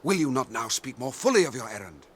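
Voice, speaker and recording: An actor playing a proud, wary cavalry commander, blunt and honourable, speaking formally and with authority in a radio fantasy drama. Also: male voice, mid-range voice, very animated, quick pace, breathy edge. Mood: grave, confiding.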